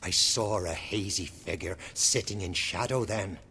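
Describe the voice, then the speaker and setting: An actor playing a tormented, guilt-ridden Norse clansman in a video game, speaking solemnly in archaic phrases with a rough voice. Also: sits low, a little slow, bright, forceful tone, slight background noise, exaggerated voice. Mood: haunted, reverent. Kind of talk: video game cutscene acting. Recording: long hall-like reverb.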